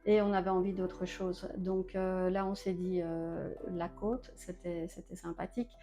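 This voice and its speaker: A woman's voice: lowish voice, fairly even intonation, a little slow, dark tone, soft background music. A woman who grew up in Belgium, showing her home in a casual interview and talking with many hesitant filler sounds. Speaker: homeowner giving a home tour.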